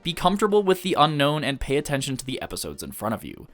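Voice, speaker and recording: A man reading a scripted review in the style of a video essay, earnest and measured, with emphatic stresses. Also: on the high side, huge pitch swings, fairly bright tone, faint music behind.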